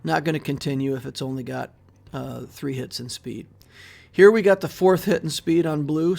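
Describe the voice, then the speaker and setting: A middle-aged man hosting his game channel, giving relaxed, casual commentary over his gameplay with plenty of fillers, recorded at his desk indoors. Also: medium pitch, creaky. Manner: relaxed, steady walkthrough with frequent fillers and trailing off. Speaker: mobile game channel host. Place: small room indoors.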